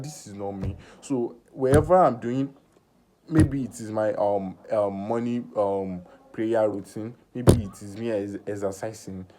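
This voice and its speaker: A nineteen-year-old man talking through a personal vlog in earnest self-talk, halting with many fillers and restarts. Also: on the low side, warm tone, a little hiss or hum. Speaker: vlogger.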